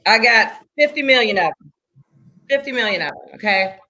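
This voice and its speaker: A woman's voice: on the high side, animated, normal speed, sharp tone. A woman in her forties, a fitness instructor, chatting enthusiastically and repeating herself for emphasis. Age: 40s. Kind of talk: casual chat.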